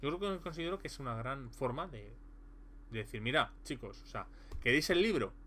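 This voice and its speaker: A man's voice: medium pitch, expressive, brisk, pushed and bright. Male reviewer, casual and rambling, frequent fillers; light background hum. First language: Spanish. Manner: rambling, many fillers and restarts. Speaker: tabletop game reviewer.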